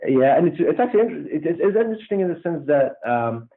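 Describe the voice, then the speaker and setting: A man's voice: a little high, sing-song, fast, slightly rough. A man with a Belgian accent, a startup founder talking casually as a guest, hesitant with many fillers and restarts. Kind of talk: casual interview. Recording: tinny phone-like line.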